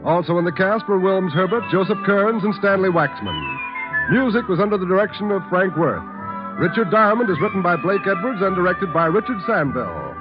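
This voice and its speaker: High-pitched vintage American radio announcer, male. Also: very animated, brisk. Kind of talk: scripted 1940s radio announcing. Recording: dull old radio broadcast, music behind.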